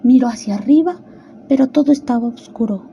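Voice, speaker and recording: A woman narrates a storybook radio drama in a high-pitched voice. The tone is extremely soft and mellow, with faint ambient noise behind her. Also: brisk, vocal fry.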